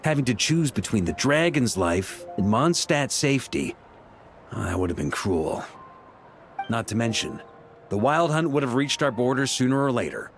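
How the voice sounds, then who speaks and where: A man's voice: mid-range voice, big pitch swings, normal speed. An actor playing an easygoing male knight in a video game, talking in a casual, wry and reflective way over background music. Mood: reflective, sincere.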